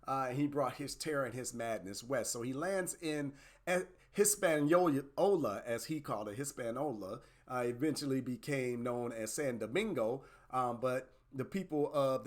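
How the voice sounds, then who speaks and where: A man talks through history in a loose, conversational way, the way a podcast host does. His voice sits at an ordinary middle pitch. He keeps checking in with his listeners by adding "right?" and often restarts his sentences with fillers. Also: a bit bright. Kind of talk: casual history lecture.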